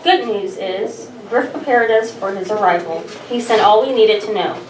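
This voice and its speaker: A woman's voice: mid-range voice, moderate pace, a little hiss or hum, natural voice. A woman playing an imperious, menacing alien ruler at a sci-fi table read. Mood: coolly commanding. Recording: echoey room, distant mic, background voices.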